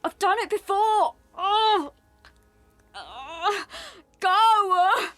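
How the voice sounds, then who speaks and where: A young woman in labour in a period audio drama. Her very high, piercing voice drags out slowly between gasps and cries of pain, with faint music behind. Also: animated, fairly bright tone, exaggerated voice. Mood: pained, pleading. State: gasping, crying in pain. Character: distressed young eighteenth-century woman in labour.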